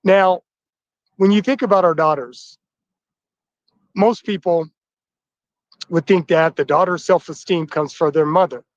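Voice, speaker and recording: A man's voice: a little high, unhurried, pauses often, dry recording. Stuffy-nosed man in his fifties, lo-fi. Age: 50s. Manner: upbeat, welcoming, addressing the fathers. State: stuffy nose from flu. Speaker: host of a livestream. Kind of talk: livestream address to viewers. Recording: dull, dry, lo-fi livestream audio.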